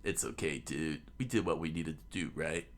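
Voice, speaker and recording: A man's voice: very deep voice, animated, moderate pace. A man voicing a carefree, blunt young male pirate captain in a laid-back way for a read-aloud story. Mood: reassuring. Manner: laid-back, says dude.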